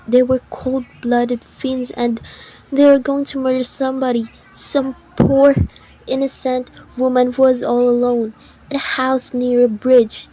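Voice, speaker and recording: Actress as imperious anxious woman caller, higher pitch, flat intonation, proper, insistent, radio drama. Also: slightly rough, rough recording. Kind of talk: old-time radio thriller acting.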